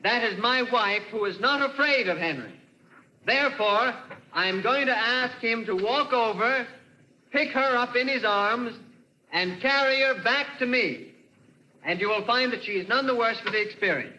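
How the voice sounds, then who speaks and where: High-pitched, high-strung radio-play inventor. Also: male voice, normal speed, pushed and bright, highs cut off, full character voice. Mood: showy confidence. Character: distraught, high-strung male inventor and showman. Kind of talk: 1940s radio acting. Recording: noisy vintage radio recording.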